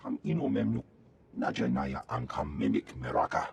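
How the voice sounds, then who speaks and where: An actor playing a gruff, gravelly alien officer who speaks an invented tongue in short clipped bursts for a video game. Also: male voice, very deep voice, very animated, moderate pace, slight background noise, heavily acted. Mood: urgent, gruff.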